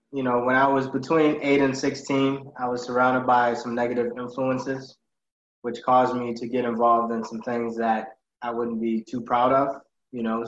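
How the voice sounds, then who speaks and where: A man from Ghana answers interview questions in a relaxed, unhurried way, filling pauses with um and you know. His voice sounds dull and slightly muffled. A noticeable echo of the room hangs around his words. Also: mid-range voice. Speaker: guest, accountant.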